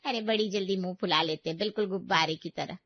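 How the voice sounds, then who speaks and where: A woman's voice: lowish voice, quick pace, dry recording, highs cut off, natural voice. A woman voicing a nosy middle-aged neighborhood aunty in a cartoon story, gossipy, teasing and prying. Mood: mocking.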